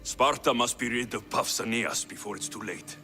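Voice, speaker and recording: An actor playing a bold, earnest young Spartan warrior in a video game cutscene, speaking with urgency. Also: male voice, mid-range voice, normal speed, sharp tone, soft background music, lightly acted. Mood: accusing.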